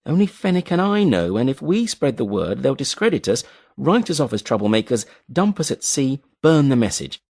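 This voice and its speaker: A man voicing a frightened, bitter adult woman in an audiobook, pleading and scornful in a voice pushed high. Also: sing-song, normal speed, a little mellow. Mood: urgent. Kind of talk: audiobook character voice.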